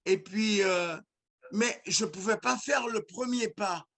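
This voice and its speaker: A man with a Haitian accent who speaks in a high voice and takes his time. He talks earnestly as a musician guest in a casual conversation, often restarting and repeating words. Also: measured pace, fairly bright tone.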